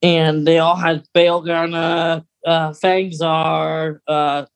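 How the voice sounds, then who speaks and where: A woman's voice: sits low, level tone, slow, clear, resonant tone. A woman speaks as a podcast co-host in an informal fan discussion. She explains things conversationally, qualifying herself and tucking in extra details as she goes. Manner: conversational, hedging, adds asides and details.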